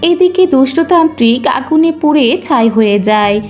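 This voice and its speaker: A woman's voice: high-pitched, lively intonation, moderate pace, darkish tone. A woman reads scripted narration for a children's cartoon story. Faint music plays behind her as she tells it.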